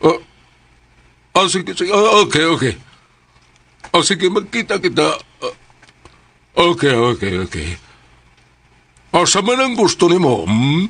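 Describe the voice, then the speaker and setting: A man playing a wealthy, firm landowner in a Filipino radio drama, speaking in a measured, hesitant manner. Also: fairly high pitch, very animated, a bit bright, breathy edge, exaggerated voice. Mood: businesslike. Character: wealthy, firm, unhurried male landowner and boss Rafael.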